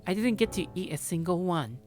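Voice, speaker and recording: A woman's voice: lowish voice, lively intonation, a little fast, darkish tone, soft background music, voice pushed up, lightly acted. A woman voicing a sly yandere in a visual novel, falsely sweet, composed and teasing. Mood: creepily sweet.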